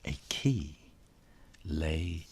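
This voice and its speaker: A man's voice: very deep voice, animated, very slow, darkish tone, slight background noise. A man reading a children's storybook in a theatrical, suspenseful way, stretching out words, dropping into whispers and playing with sound words. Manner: playful onomatopoeia and repetition. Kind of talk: dramatic children's storybook read-aloud.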